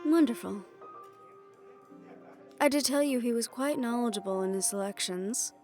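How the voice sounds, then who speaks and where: A woman performs a worldly hotel manager from a criminal underworld audio roleplay. She takes her time with every phrase, poised and gracious, as if she knows everything. Music plays clearly underneath her voice. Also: medium pitch, darkish tone, natural voice. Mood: warm, teasing. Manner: unhurried, composed, gracious and knowing. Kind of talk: roleplay audio drama acting.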